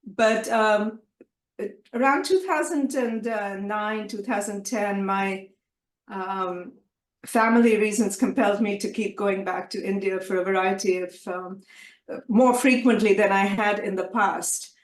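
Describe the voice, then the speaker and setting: Kashmiri-born woman, measured, echoey room. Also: mid-range voice. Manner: measured, hesitant, many fillers and restarts. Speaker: interview guest. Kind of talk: conversational interview.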